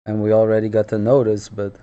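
A man in his thirties chatting casually on a live stream from a small office, with a naturally loud voice and relaxed chuckling asides, into a headset mic. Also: lowish voice, fast talker. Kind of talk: casual chat while drawing on stream. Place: small office room, indoors. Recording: dull lo-fi stream audio.

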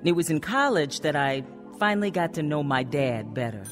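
A woman's voice: sits low, expressive, normal speed. An actress playing an earnest, determined young woman who recounts her student years in a radio drama. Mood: reflective. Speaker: narrator and lead actor. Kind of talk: radio drama acting and first-person narration. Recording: reverberant radio drama with music.

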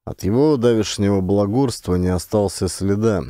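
A man narrating an audiobook in a measured, ironic storytelling manner. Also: on the low side, warm tone, no room sound, studio quality.

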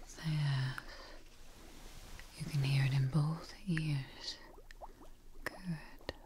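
A young woman doing a soft-spoken, whispered ASMR roleplay, gentle and hushed, close to the microphone. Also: deep voice, fairly even intonation, noisy background. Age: young adult. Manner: hushed, gentle, slow personal-attention delivery. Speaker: ASMR performer in a creature-testing roleplay.

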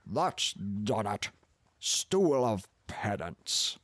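Man putting on a deep rumbling, overbearing professor. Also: sing-song, creaky, no room sound, exaggerated voice. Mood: mocking, stern. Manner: slow, mocking, commanding. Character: big gruff overbearing husband, sardonic professor.

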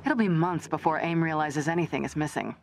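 A woman's voice: sits low, fairly quick, vocal fry, slight background noise. An actress playing a guarded female spy with a conscience, terse, dry and controlled, in a video game. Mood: cool, dry. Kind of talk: naturalistic video game cutscene acting.

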